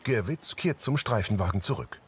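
A man's voice: on the low side, expressive, normal speed, noisy background. A man reading scripted present-tense audio description of scenes as a narrator.

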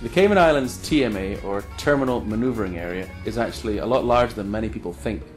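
A man's voice: on the low side, fast. A young man who works as an air traffic controller, explaining his job in an interview. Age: young adult. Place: small office with large windows, indoors. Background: background music.

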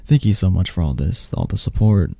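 A soft-spoken man, an ASMR channel host, casually and haltingly addressing his listeners. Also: low-pitched, animated, gravelly, no room sound. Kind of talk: casual thank-you to listeners.

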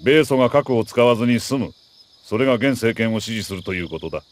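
An actor playing a middle-aged army major who briefs an agent in a crisp, authoritative, deliberate way in a video game. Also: male voice, medium pitch, lively intonation, a little hiss or hum, lightly acted. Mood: grave, commanding. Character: male military major, mission commander, precise and earnest. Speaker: mission commander briefing an agent.

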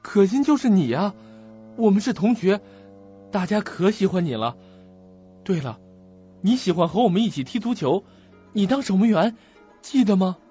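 An eager young man with a high, lively voice, played by a male actor. He is gentle and earnest, coaxing in radio drama style, slightly husky, with music underneath. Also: normal speed, pauses often, dull audio, exaggerated voice. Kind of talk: Chinese suspense radio drama acting.

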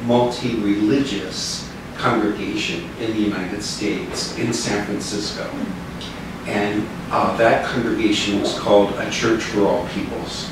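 An older man speaks as a minister giving an informal, halting reflection. His voice sits a little low. The room is very echoey, with clear background noise. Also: recorded from a distance. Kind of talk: informal reflective talk to a small group. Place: living room with small seated group.

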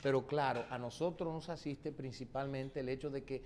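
A man with a Dominican accent answers political interview questions as a candidate, in formal, legalistic, measured wording. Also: medium pitch, steady tone, a little mellow, a little hiss or hum. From born in the United States to an exiled Dominican family. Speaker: presidential candidate being interviewed.

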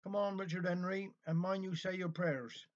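A man voicing a brisk, homely mother in Cornish dialect, as in a village tale. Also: high-pitched, level tone, dull audio, voice pushed up, lightly acted. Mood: bossy. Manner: bustling, welcoming, dialect-heavy.

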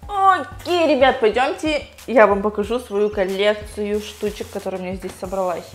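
A woman's voice: mid-range voice, normal speed, faint music behind. Playful young woman chatting in a bathroom. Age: young adult. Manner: chatty, playful, talks to her pug and viewers. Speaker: vlogger. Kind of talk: casual vlog chat unboxing toys. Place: small tiled home bathroom, sitting in a bathtub. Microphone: small lapel mic.

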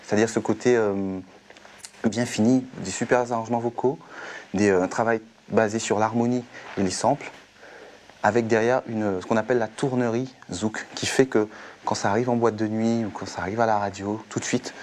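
A young man, a singer, giving measured explanations in an interview in a music studio. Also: on the low side, steady tone, darkish tone, a little hiss or hum. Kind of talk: interview talk.

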